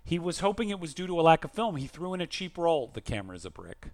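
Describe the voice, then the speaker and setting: A man's voice: on the high side, big pitch swings, quick pace, dry recording. A man co-hosting a podcast, reading listener mail and chatting in a theatrical, emphatic, jokey way. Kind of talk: lively casual podcast chat and letter reading.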